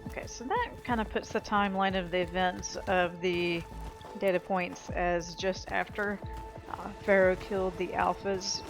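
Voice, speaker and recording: A woman's voice: on the low side, steady tone, a little slow. A woman giving casual video game commentary, with sarcastic asides. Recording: game music clearly behind the voice. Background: video game music.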